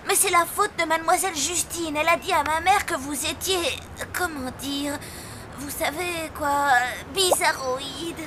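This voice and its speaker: A woman's voice: very high-pitched, unhurried, noisy background. A woman voicing an earnest, apologetic young schoolboy in a French children's cartoon.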